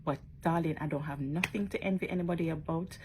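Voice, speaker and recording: A woman's voice: low-pitched, fairly even intonation, quick pace, slight background noise. A woman talking casually to her followers in a vlog, chatty and emphatic, often tagging on questions. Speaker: social media blogger.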